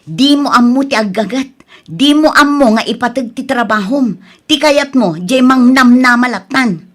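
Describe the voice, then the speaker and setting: An actress plays a put-upon adult daughter in an audio drama. Her voice sits a little high and carries an Ilocano accent, earnest and full of reproach. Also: moderate pace, fairly bright tone, natural voice. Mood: exasperated, reproachful. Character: overburdened adult daughter and reproachful elder sister.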